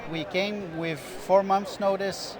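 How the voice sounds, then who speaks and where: A middle-aged man from Brazil with a Brazilian Portuguese accent, explaining diving medicine in a measured way as an interview guest. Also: sits high, steady tone, a crowd in the background. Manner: measured, explanatory, with small restarts. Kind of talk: interview answers. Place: busy expo booth floor.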